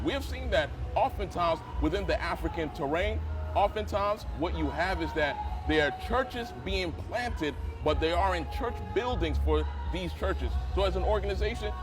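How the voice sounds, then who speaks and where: A man from Ghana with an American accent, an interview guest answering in an oratorical, sermon-like way with numbered points. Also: on the high side. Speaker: interview guest, lawyer and missionary.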